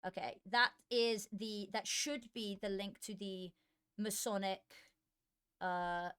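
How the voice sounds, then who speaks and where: A woman's voice: medium pitch, steady tone, slow, a bit bright, clean tone. A woman streaming esports, chatting casually while fixing her setup, her voice worn from long hours of streaming and often trailing into muttering to herself. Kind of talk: casual live-stream chat while setting up. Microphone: desk microphone.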